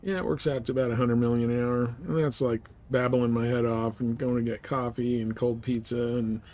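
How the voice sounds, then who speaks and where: A man's voice: mid-range voice, level tone, brisk, darkish tone. A man chatting informally and with some swearing as he live-streams a game. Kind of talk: casual live-stream gameplay chat.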